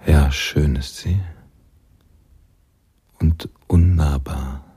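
An actor playing a reflective, nostalgic male narrator in a noir radio drama, speaking in a measured, literary manner. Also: extremely low pitch, steady tone, mellow, slightly rough, no echo, full character voice. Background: jazz music.

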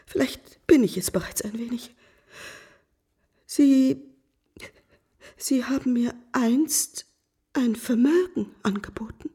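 A high-voiced, desperate, breathy wife. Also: female voice, slow, pauses often, mellow, full character voice. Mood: despairing. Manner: imploring, formal, emotional. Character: desperate virtuous wife pleading to save her family. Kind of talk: literary radio drama acting.

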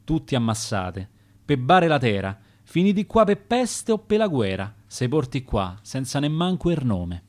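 A man's voice: mid-range voice, sing-song, measured pace. Man doing an embittered Roman ghost, coarse and resentful. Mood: bitter. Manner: coarse, bitter. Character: bitter angry dead man in mass grave.

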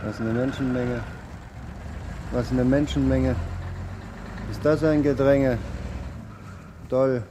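An actor playing a slow, marvelling bystander in a radio play monologue, speaking in deliberate short phrases. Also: male voice, mid-range voice, steady tone, background noise, lightly acted. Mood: slow amazement. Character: slow, marvelling male bystander gawking at a crowd.

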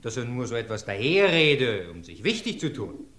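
An actor playing a persistent lawyer cross-examining a witness in a radio drama, formal and probing. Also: male voice, medium pitch, sing-song, moderate pace, fairly bright tone, roomy sound. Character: sharp, persistent male courtroom lawyer. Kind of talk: radio play acting.

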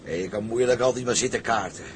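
Male actor for grumbling crewman: medium pitch, colloquial, seafaring drama, clear engine-like noise behind. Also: fast, natural voice. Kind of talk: dramatised seafaring story, scripted acting.

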